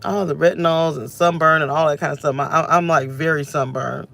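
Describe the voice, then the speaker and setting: A woman's voice: deep voice, steady tone, brisk, gravelly, a bit bright. Woman, thirties, scratchy voice, emotional venting, stop-start sentences, talking into phone camera, inside a car. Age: 30s. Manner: venting, emotional, restless, self-interrupting. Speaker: vlogger. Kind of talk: unscripted personal vlog rant. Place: inside her parked car. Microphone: phone camera held close.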